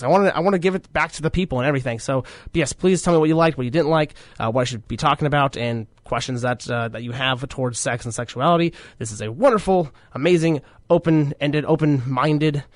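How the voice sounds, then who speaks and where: A man in his twenties hosting a college radio show, his voice deepened by illness, rambling with many fillers and joking at his own expense. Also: dry recording. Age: 20s. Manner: rambling, many fillers and restarts, self-mocking jokes. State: sick, voice deeper than usual. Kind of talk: casual radio chat.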